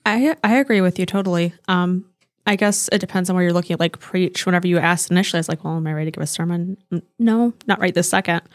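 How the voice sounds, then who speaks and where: A woman's voice: lowish voice, fast talker, no room sound. A woman in her twenties, an associate pastor, chatting casually and easily on a podcast. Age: 20s. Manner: chatty, uses "like" and "um" often.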